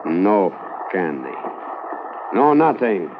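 An actor playing a bitter, defiant prisoner who speaks in clipped broken English, in a 1950s radio drama. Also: male voice, low voice, very animated, slow, full character voice. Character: defiant proud horse thief prisoner, bitter. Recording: tinny old radio transcription, hiss.

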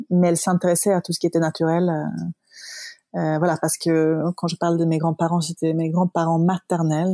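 A woman's voice: on the low side, mellow, dry recording, clean studio sound. A woman with a light Swedish accent answering interview questions conversationally, often searching for her words. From Sweden. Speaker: podcast guest, naturopath and yoga practitioner.

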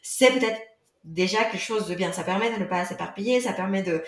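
A woman's voice: medium pitch, fairly quick, reverberant. A woman, not a native French speaker, being interviewed on a podcast as an author, talking hesitantly with many fillers and restarts. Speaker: guest author.

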